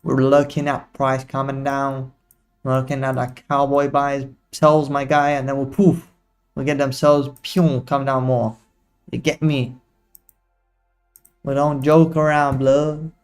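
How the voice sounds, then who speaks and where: A man's voice: medium pitch, flat delivery, a little slow, smooth, soft background music. A young man hosting a live trading stream, chatting casually in slang and teasing his viewers. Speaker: livestream trading host. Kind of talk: casual live commentary to chat.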